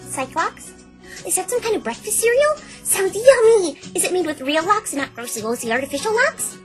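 A woman voicing a bubbly, childlike, scatterbrained cartoon pony with excitable, cutesy talk. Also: very high-pitched, fairly quick, faint music behind. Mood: chirpy.

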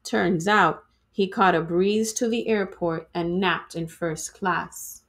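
A woman reading a children's storybook aloud in an expressive, dramatic way, like a teacher to students. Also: lowish voice, takes it slowly.

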